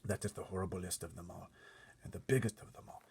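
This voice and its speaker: A man voicing a gentle, nervous giant who speaks in a hushed way with folksy, muddled grammar. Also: deep voice, quick pace, slight background noise, natural voice. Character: gentle, nervous friendly old-fashioned giant.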